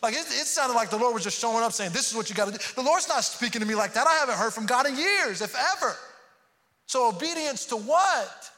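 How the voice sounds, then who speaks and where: A man's voice: extremely high pitch, animated, a little fast, sharp tone. A man from Houston preaching a sermon, earnest and prone to repeating words as he starts a thought. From Houston, Texas. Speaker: pastor. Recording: long hall reverb.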